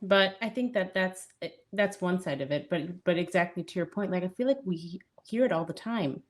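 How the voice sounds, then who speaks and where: A woman co-hosting a podcast in casual chat, speaking in an animated, emphatic way, often laughing and breaking off mid-thought. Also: on the low side, normal speed. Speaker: podcast co-host.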